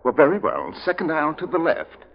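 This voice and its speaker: Fussy shop clerk, swooping pitch. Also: male voice, huge pitch swings, fairly quick, creaky, heavily acted. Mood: politely puzzled. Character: fussy, polite male toy-shop clerk. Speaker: actor in a radio comedy-mystery. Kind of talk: old-time American radio acting. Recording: muffled vintage radio recording with music.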